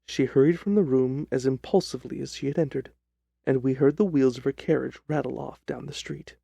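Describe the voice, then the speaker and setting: A man narrating a first-person story from a script in a measured, literary delivery. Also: mid-range voice, creaky, mellow, slightly rough, no echo. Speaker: audiobook narrator.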